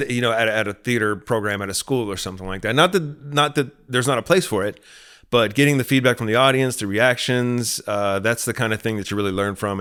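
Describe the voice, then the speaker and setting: A man with a clear, resonant voice co-hosts an interview in casual conversation. He speaks at a slightly low pitch. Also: a bit bright. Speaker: podcast co-host.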